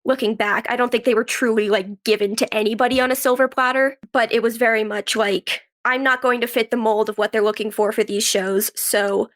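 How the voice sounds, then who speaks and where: A woman in her twenties chatting casually as an interview guest, telling stories in an animated way with quoted asides. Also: fairly high pitch, fairly quick, hardly any pauses. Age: 20s. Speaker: podcast guest, actress and writer.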